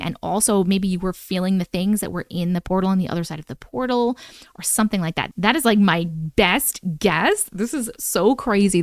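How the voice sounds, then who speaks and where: A woman in her thirties reading viewer-submitted scary stories aloud in a bedroom, breaking off for chatty, animated comments. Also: medium pitch, no room sound, clean studio sound. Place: bedroom, indoors. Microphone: large studio mic on boom in front of her.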